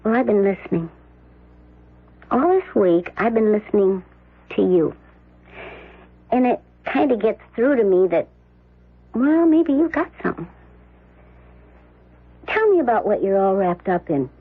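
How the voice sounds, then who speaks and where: A husky, flirty airheaded gold-digger. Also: female voice, medium pitch, expressive, unhurried, long pauses. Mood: breezy, frank. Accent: American. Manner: drawling, coquettish, artless. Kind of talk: old-time radio drama acting. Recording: tinny, narrow vintage radio broadcast.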